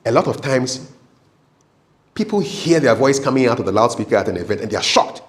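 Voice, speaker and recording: A man with a mid-pitched voice speaks as a coach running a practical training session. He is conversational and instructive in his delivery. He repeats key points emphatically and keeps checking that listeners are following. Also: animated, brisk. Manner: emphatic, repetitive, checks in with "okay?". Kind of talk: live training talk with practical tips.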